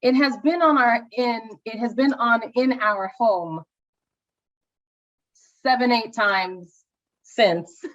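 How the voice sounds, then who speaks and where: A mother co-hosting a podcast in casual chat, talking eagerly and chiming in quickly. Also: fairly high pitch, fairly bright tone. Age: adult with children. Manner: chatty, enthusiastic, quick interjections. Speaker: podcast co-host. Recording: dull lo-fi sound.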